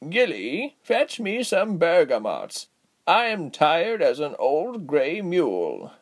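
Storybook old woman, raspy and slow, weary, grumbles kindly; male reader acts her. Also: a little high, huge pitch swings, pitched above the performer's own voice, full character voice. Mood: weary, coaxing.

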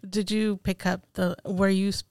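A woman's voice: mid-range voice, little pitch movement, normal speed, a little mellow, smooth, no echo, clean studio sound. A woman who grew up in Ethiopia with Tigrinya as her first language co-hosts a podcast in a friendly, chatty way, often filling her speech with "like". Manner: friendly, chatty, frequent "like" fillers. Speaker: podcast co-host. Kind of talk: casual podcast chat.

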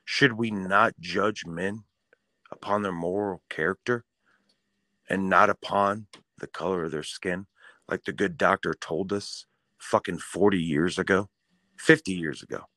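A man's voice: deep voice, steady tone, unhurried, pushed and bright, dry recording, clean studio sound. A man co-hosting a casual podcast, ranting in a fired-up, profane way. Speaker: podcast co-host.

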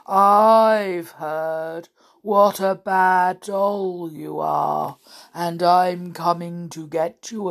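A woman voicing a menacing storybook bogeyman with a hollow voice and slow, drawn-out, threatening delivery. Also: creaky, heavily acted. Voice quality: deep, hollow. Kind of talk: children's storybook character voice.

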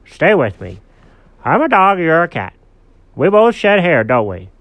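A man's voice: on the high side, huge pitch swings, unhurried, no echo, muffled recording, pitched above the performer's own voice, full character voice. A man voicing a brash, chummy, bossy pet dog in a children's story, talking emphatically. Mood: eager, scheming.

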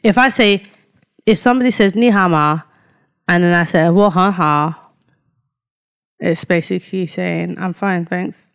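A woman's voice: fairly low pitch, unhurried, vocal fry, pauses often, clean tone. A woman co-hosting a podcast in casual banter, using slang like a habit and explaining things with examples and asides. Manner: slangy, says fam and yeah often. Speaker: podcast co-host.

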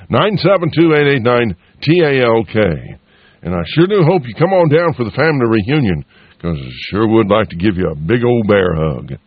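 A man's voice: medium pitch, no echo. Folksy man on lo-fi radio. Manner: folksy, drawling, homey. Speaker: radio station host. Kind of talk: folksy on-air promo and appeal for donations. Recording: dull lo-fi radio broadcast.

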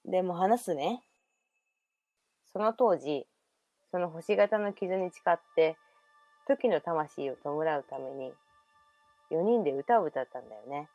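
A woman's voice: on the low side, warm tone, no room sound, lo-fi sound. Woman playing a girl with past-life memories. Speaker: radio drama performer. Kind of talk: amateur radio drama acting.

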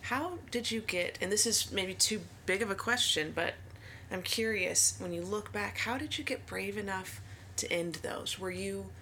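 A woman's voice: medium pitch, moderate pace, a little hiss or hum. A woman therapist hosting a podcast interview, affirming her guest and asking probing questions. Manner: warm-voiced affirming listener, many mm-hmms, probing questions. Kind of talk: conversational interview.

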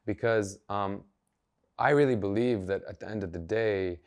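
A man's voice: lowish voice, moderate pace. A man, a journalist and activist, speaking earnestly and emphatically as a podcast guest, thinking aloud as he goes. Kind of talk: podcast interview conversation.

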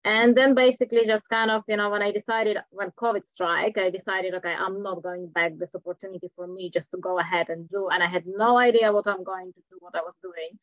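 A woman's voice: medium pitch, fairly even intonation, fairly quick, few pauses, fairly bright tone, dry recording. A middle-aged woman with a Czech accent, a coach talking earnestly as a podcast guest and sometimes searching for words. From Czech Republic. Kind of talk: podcast interview. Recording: dull lo-fi audio.

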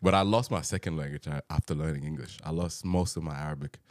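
Very deep-voiced man, dry sound. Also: dry recording. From South Sudan. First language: Dinka. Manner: laughs often, quick to apologize and interject. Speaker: podcast co-host. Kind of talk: casual chat.

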